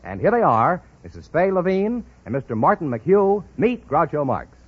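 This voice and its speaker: Male radio announcer, 1940s style, highish animated voice, declamatory, formal, muffled old recording. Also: normal speed, breathy edge, no echo. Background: music.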